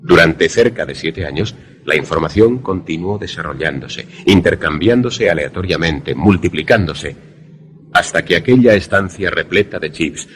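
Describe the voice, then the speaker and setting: A man's voice: low voice, a bit bright, slight background noise. A man playing a brooding, lovelorn former student who narrates a suspense radio drama in a grave, literary manner.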